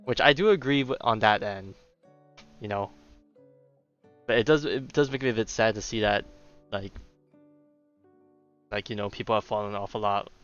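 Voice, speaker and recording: A man streams a game and chats over it in a loose, slangy way. His voice sits fairly low on a slightly dull mic, with faint game music behind. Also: normal speed, pauses often, a bit bright. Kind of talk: casual game commentary.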